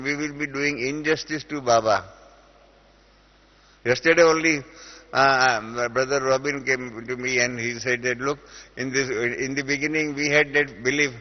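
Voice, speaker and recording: A middle-aged Indian man teaches a spiritual class in a large hall. His voice carries a long echo, and the recording sounds dull and lo-fi. He explains patiently, stopping often on "uh" between thoughts. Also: mid-range voice. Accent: Indian English. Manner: explains through everyday examples, with many "uh" fillers.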